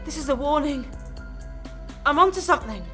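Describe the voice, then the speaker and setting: A woman's voice: sits high, lively intonation, moderate pace, fairly bright tone, faint music behind. A woman playing a driven, anxious female news reporter in a radio drama. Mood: frightened.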